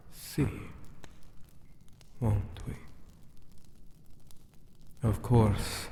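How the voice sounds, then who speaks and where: A man playing a lonely, possessive ancient Anubis guardian in an ASMR roleplay, speaking formally and tenderly with menace in a breathy half-whisper. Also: on the low side, echoey room, background noise. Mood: ominous, teasing.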